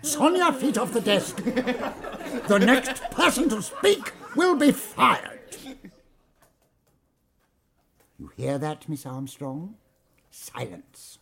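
An actor playing a pompous, bullying theme park manager with an English accent, in audio drama style. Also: male voice, extremely high pitch, very animated, slow pace, vocal fry, fairly bright tone, heavily acted. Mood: furious. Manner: pompous, theatrical.

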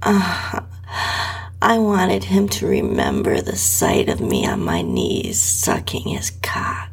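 A woman narrating an intimate first-person adult story in a breathy, sultry voice that often sinks close to a whisper. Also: extremely low pitch, huge pitch swings. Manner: slow, sultry, drawn-out delivery. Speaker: podcast host and story narrator.

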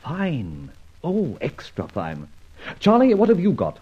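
An actor playing a suave adventurer sleuth in an old radio drama, speaking in an articulate, wry, theatrical manner. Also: male voice, a little high, wildly swooping pitch, takes it slowly, breathy edge, full character voice. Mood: wry, probing. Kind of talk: old radio drama acting with scripted narration. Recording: muffled old radio recording with music.

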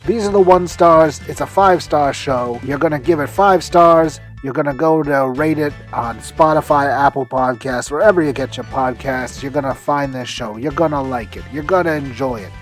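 A man with a slightly high voice hosts a hype-filled podcast promo. He is an emphatic, excitable pitchman who hammers on repeated phrases. Light music plays behind him. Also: moderate pace, hardly any pauses. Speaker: podcast host.

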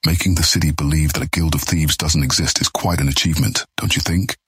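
An imposing, solemn servant of a thieves' guild, played by a man in a fantasy audiobook. The voice is extremely deep and very husky, with a bone-dry sound. The speech is formal, courteous and understated. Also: steady tone, quick pace, fairly bright tone, no room sound, exaggerated voice. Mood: dryly assured. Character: tall, ominous, solemn deputy of a thieves' guild, deferential. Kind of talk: fantasy audiobook character acting.

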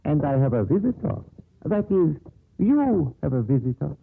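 An actor playing a courteous, deferential portrait painter in a 1950s radio drama, speaking formally and politely. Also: male voice, fairly high pitch, big pitch swings, normal speed, vocal fry, husky, full character voice. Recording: tinny, faintly noisy 1950s radio broadcast.

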